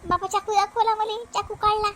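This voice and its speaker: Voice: very high voice, flat delivery, a little fast, bright, forceful tone. A cartoon voice playing an emphatic, quarrelsome village housewife in a Varhadi Marathi accent from Vidarbha, repeating words in comedy dialogue. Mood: anxious, complaining. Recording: noticeable background noise.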